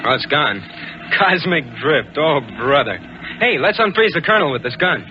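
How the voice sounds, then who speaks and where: Man as cocky, high-pitched radio space cadet. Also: moderate pace, natural voice. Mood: relieved, admiring. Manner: brash, wisecracking, excitable. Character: cocky young male space cadet, wisecracking navigator. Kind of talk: 1950s radio adventure acting. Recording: very noisy, muffled 1950s radio recording.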